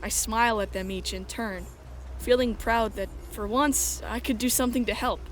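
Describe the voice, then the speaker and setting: A woman's voice: a little high, steady tone, normal speed, a little hiss or hum. A woman reading scripted first-person story narration as the narrator of a dramatic fan dub. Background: music.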